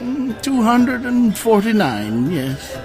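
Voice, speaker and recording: A man's voice: extremely high pitch, very animated, slow pace, pitched above the performer's own voice, exaggerated voice. A man playing a courteous, weary, eccentric old knight in his seventies, speaking formally and hesitantly in a comic fantasy audio drama. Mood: doddering, wistful. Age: 70s in character. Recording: music behind the voice.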